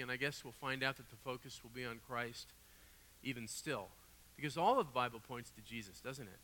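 Guest preacher, man, raspy from a cold, bright forceful delivery, long church-hall reverb. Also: medium pitch. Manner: animated, conversational storytelling with asides. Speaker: guest pastor. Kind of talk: sermon. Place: church sanctuary.

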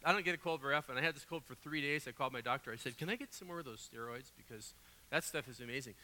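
Guest preacher, man, raspy from a cold, bright forceful delivery, long church-hall reverb. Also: medium pitch, rapid-fire, a little hiss or hum. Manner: animated, conversational storytelling with asides. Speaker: guest pastor. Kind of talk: sermon. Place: church sanctuary.

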